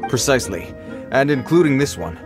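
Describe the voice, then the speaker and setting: A man's voice: medium pitch, big pitch swings, fairly quick, natural voice. An actor playing an earnest adult male patrol guard in a video game, speaking in a formal, cautious, slightly awkward way. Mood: stern warning. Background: background music.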